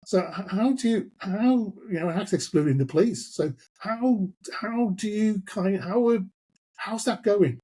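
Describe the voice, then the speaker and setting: A man interviewing a guest, rambling and building his questions slowly with lots of fillers. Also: high-pitched, mellow, husky.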